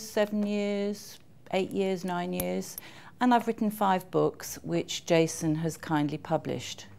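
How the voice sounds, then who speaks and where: A middle-aged woman with a very low voice and a strong creak in it. She speaks as an author giving informal feedback on a course she attended. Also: darkish tone. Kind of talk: informal testimonial to camera.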